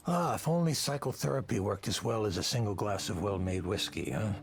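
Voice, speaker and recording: An actor playing a jaded, whiskey-drinking tech visionary in a visual novel, speechifying persuasively in a ragged, creaky voice. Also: male voice, fairly low pitch, brisk. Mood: wistful. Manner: speechifying, persuasive, world-weary. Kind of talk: dramatic visual novel voice acting.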